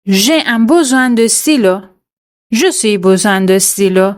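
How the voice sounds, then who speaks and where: A woman reading out a French quiz for learners, pronouncing each answer choice and explanation in a measured, scripted way. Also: mid-range voice, expressive. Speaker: French teacher.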